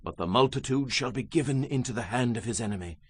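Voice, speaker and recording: A man's voice: medium pitch, fast talker, slightly rough, no echo. A man giving a solemn, declamatory dramatized reading of scripture as a Bible narrator.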